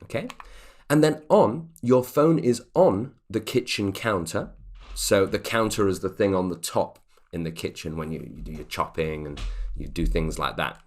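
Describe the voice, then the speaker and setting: A man's voice: deep voice, moderate pace. A man teaching an online English grammar lesson, explaining patiently and checking in with the viewer as he goes.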